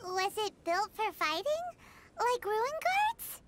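A woman voicing a tiny, excitable, wide-eyed girl in a cartoonish game, squeaky and childlike. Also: takes it slowly, noisy background, full character voice. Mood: curious. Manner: exclamatory, wide-eyed questioning.

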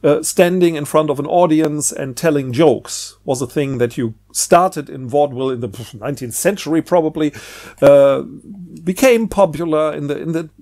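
A man giving a casual, unscripted vlog monologue as he presents a book, rambling and halting, often trailing off and rephrasing. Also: a little high, darkish tone.

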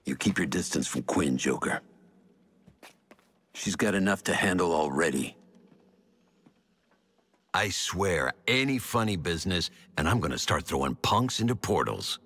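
An actor playing a stern, threatening bruiser in a video game, husky, blunt and menacing. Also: male voice, low voice, expressive, measured pace, long pauses, a bit bright, exaggerated voice. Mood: warning. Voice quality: husky, rough. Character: stern, threatening male bruiser guarding a teammate.

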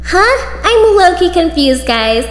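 A woman's voice: very high voice, very animated, slow pace, slight background noise. A young woman doing amateur roleplay voice acting of school drama, with slangy, dramatic teen-girl dialogue. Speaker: video creator voicing all characters of a school roleplay story. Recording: music behind the voice. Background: background music.

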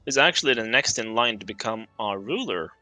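Man, bright tense voice, calm dry remarks, close dry mic. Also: fairly low pitch, a little fast, dry recording, faint music behind. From Norway. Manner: calm, explanatory, dry quips. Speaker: streamer co-host. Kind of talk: casual gameplay chat. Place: small home room.

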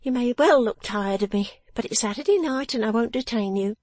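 A woman voicing a sharp-tongued, bossy young girl in a storybook, scolding in a shrill, imperious way. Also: fairly quick, dry recording, natural voice. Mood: tart, dismissive. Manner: imperious, tart, emphatic scolding.

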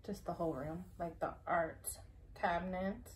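A woman's voice: fairly low pitch, steady tone, normal speed, poor audio. A woman, giving short, reserved answers in a casual interview. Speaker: preschool teacher guest. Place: small classroom, indoors.

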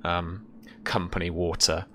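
A man's voice: low-pitched, level tone, unhurried, a bit bright, a little hiss or hum. A young man running a tabletop game as game master, describing scenes casually in piecemeal phrases. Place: indoor room, video call.

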